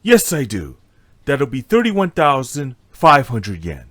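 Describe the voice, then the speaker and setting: A man's voice: medium pitch, wildly swooping pitch, takes it slowly, fairly bright tone, slight background noise, exaggerated voice. A man voicing a courteous shopkeeper in an amateur audio drama, formal and obliging. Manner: polite, formal.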